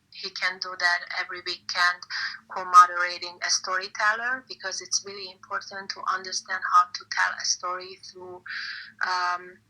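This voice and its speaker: Hungarian-accented woman, bright hesitant voice. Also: lowish voice, rough recording. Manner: hesitant, many fillers. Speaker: interview guest. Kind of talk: casual chat.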